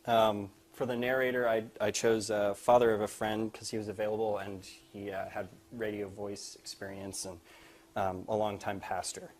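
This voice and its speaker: A young man with a slightly low voice speaks as a student presenter. He is explaining his senior project in a live talk. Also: flat delivery, normal speed, a little mellow. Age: young adult.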